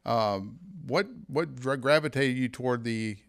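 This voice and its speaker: A man's voice: medium pitch, unhurried, gravelly. A man from Houston hosting an interview show, asking rambling, chatty questions. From Houston, Texas. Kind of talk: conversational interview.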